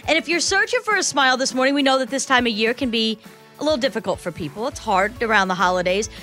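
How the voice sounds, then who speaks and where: Female morning radio host, upbeat, bright and sharp tone. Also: on the high side, lively intonation, fast, faint music behind. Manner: bubbly and enthusiastic, cheering callers.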